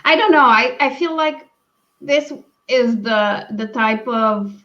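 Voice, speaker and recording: A woman hosting a casual book discussion over a video call, thinking aloud in halting phrases. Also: fairly high pitch, fairly bright tone. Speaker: book read-along live stream host. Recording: dull, lo-fi stream audio.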